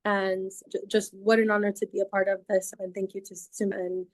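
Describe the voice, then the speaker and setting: A woman's voice: mid-range voice, level tone, moderate pace. Effusive woman lawyer on a call. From Sudan. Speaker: human rights lawyer. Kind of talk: virtual panel introduction. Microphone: video call.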